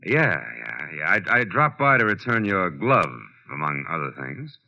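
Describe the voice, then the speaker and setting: An actor playing a wry, world-weary private detective in 1940s radio noir style, dry and sardonic. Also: male voice, low-pitched, big pitch swings, normal speed, bright, forceful tone, full character voice. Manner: dry, hard-boiled, sardonic. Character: wry, tough, world-weary male private detective narrating his case. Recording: muffled old radio recording with music.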